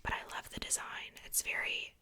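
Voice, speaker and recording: A woman whispering softly in a casual, chatty ASMR style, rambling along with conversational asides. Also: moderate pace. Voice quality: soft unvoiced whisper. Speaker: ASMR video host showing a doll collection.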